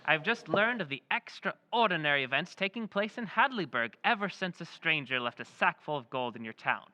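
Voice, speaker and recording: A man's voice: fairly high pitch, lively intonation, brisk, pushed and bright, big-room sound, rough recording. A man playing a knowing, confident letter writer. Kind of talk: reading a letter in a radio drama.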